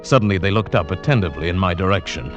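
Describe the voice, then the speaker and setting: Eager mountaineer-narrator, low register, dramatic golden-age radio delivery, music bed. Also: male voice, expressive, very fast, highs cut off. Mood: wondering, narrating. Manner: theatrical, dramatic storytelling. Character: mountaineer from Bogota, narrating his adventure, eager and self-assured. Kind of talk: vintage radio drama acting, narration and dialogue. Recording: vintage radio broadcast with music behind.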